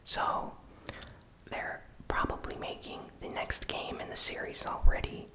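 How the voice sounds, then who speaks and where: A gaming news host delivering an ASMR recap in a soft, unvoiced whisper, with pauses between short phrases. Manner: slow, deliberate, pausing between short phrases.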